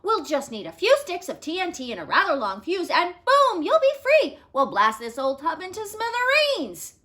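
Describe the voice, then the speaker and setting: A woman's voice: extremely high pitch, huge pitch swings, normal speed, bright, forceful tone, pitched above the performer's own voice, exaggerated voice. A woman voicing a reckless, cheerful male war secretary in a picture book, eager and emphatic. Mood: gleefully eager.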